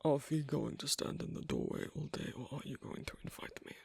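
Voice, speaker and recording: A performer playing a shy, socially awkward werewolf on a first date in a soft ASMR roleplay, with a breathy, husky voice and halting, hesitant, terse delivery. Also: medium pitch, no echo. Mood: shy, reserved.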